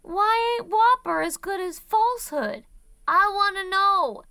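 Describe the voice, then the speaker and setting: A woman's voice: extremely high pitch, lively intonation, unhurried, bright, forceful tone, no echo, clean studio sound, pitched above the performer's own voice, exaggerated voice. A woman voicing a curious, stubborn six-year-old boy who asks insistent, aggrieved questions. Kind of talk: audiobook character voice.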